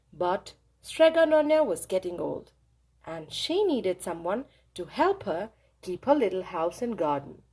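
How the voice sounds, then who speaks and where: A woman reading a children's storybook aloud in an expressive, deliberate storytelling manner. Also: mid-range voice, husky. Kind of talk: animated read-aloud storytelling.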